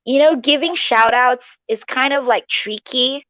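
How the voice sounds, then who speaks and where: A woman's voice: high-pitched, measured pace, fairly bright tone, smooth, no room sound, dull audio. A woman from Malaysia chatting casually and giggly as co-host of a live stream from her living room at home.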